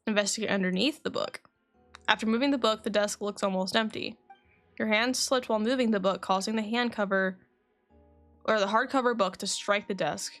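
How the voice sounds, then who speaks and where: A woman streaming a game, reading its dialogue aloud and adding casual commentary, correcting herself as she goes. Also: mid-range voice. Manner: self-correcting as she reads. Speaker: game livestreamer.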